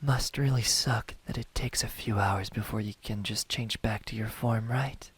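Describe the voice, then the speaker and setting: A man playing a smug, menacing young demon hunter in an ASMR roleplay, taunting softly and deliberately, often close to a whisper. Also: fairly low pitch, steady tone, breathy edge. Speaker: amateur voice actor.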